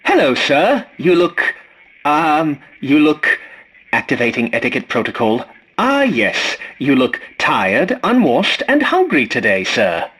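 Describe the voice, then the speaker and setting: An actor playing a fussy, polite, snarky scavenger robot in a video game, with a prim, formal and dryly sarcastic delivery. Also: male voice, on the high side, very animated, slow pace, fairly bright tone, slightly rough, exaggerated voice, rough recording. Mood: flustered, prim. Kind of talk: scripted video game character lines.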